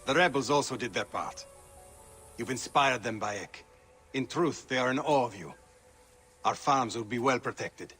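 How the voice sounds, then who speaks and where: A man's voice: medium pitch, moderate pace, long pauses, a bit bright, soft background music, close to an everyday voice. An actor playing a grateful, earnest village man and ally in a game, speaking with pride. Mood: grateful, proud. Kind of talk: video game cutscene acting.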